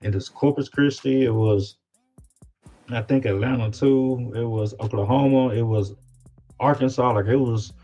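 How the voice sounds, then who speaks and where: A man's voice: mid-range voice, moderate pace, dark tone, muffled recording, faint music behind. A man chatting casually as an interview guest, informal and often repeating words as he searches for phrasing. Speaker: guest, independent rapper. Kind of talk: casual chat.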